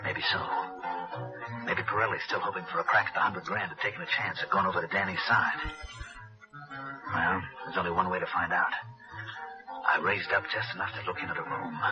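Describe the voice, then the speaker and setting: A man's voice: deep voice, fairly even intonation, fairly quick, pauses often, natural voice. An American man playing a cool, guarded hard-boiled insurance investigator in 1960s radio noir style. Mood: tense, measured. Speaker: narrator and lead actor. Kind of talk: 1960s radio noir acting, first-person narration. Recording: dull old radio recording with music.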